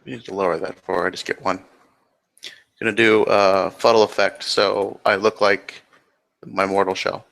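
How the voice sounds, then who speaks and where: Man, roleplaying game player, casual, lowish pitch, heavy vocal fry. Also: little pitch movement, normal speed, fairly bright tone. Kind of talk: casual tabletop game talk.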